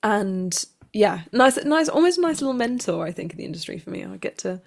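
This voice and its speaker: A woman being interviewed as an actor, talking casually in a chatty, expressive way. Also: medium pitch, brisk, creaky.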